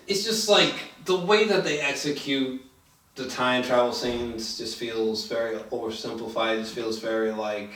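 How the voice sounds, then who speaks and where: A man giving a casual film review as host, talking in a halting, informal way and swearing freely. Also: mid-range voice. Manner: halting, filler-heavy, swearing, animated when excited. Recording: echoey room, distant from mic.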